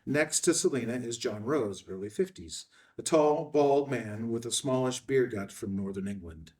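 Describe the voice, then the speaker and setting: A man's voice: medium pitch, lively intonation, moderate pace, a little mellow, far from the mic. A man reading out stage directions as scripted narration at a film table read.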